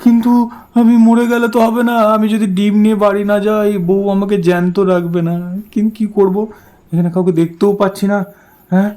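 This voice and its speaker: A man's voice: high voice, little pitch movement, fairly quick, mellow, close to an everyday voice. A man playing a hapless, henpecked village husband in a comic cartoon, rambling and repeating himself in bewildered complaint. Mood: panicked, whining.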